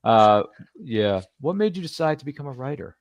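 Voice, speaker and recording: A man's voice: medium pitch, very animated, moderate pace, no echo, highs cut off. A man hosting an interview show, chatty and playful, fond of references and catchphrases. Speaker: podcast host. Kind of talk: casual interview chat.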